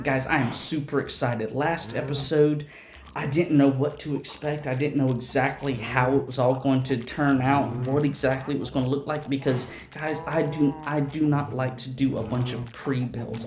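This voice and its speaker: A man hosting casual game commentary, enthusiastic and rambling, with a raspy voice and a lingering cough. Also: medium pitch, a little mellow, reverberant. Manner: enthusiastic, rambling, many restarts. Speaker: host.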